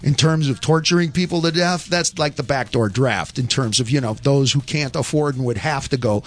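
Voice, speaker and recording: A man's voice: medium pitch, moderate pace. A man hosting a call-in radio show, arguing his points in a rambling way with wry asides.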